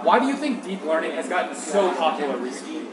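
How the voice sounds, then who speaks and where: A man reading out short quick-fire questions as an interviewer. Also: on the high side, very animated, crowd noise. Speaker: interviewer, host. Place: outdoor city walkway. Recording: echoey, noisy outdoor ambience.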